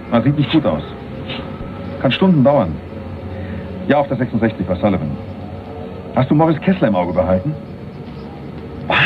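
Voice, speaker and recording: An actor playing a scheming man making a halting, urgent telephone call in a radio crime drama. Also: mid-range voice. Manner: halting, urgent phone talk. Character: man called Rocky, scheming, reporting by telephone. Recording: dull band-limited radio recording, music behind.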